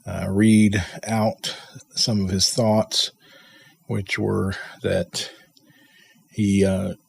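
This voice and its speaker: A man hosts with informal spoken introductions. His voice is lowish and gravelly, and he talks slowly with a halting manner. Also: little pitch movement. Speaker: host.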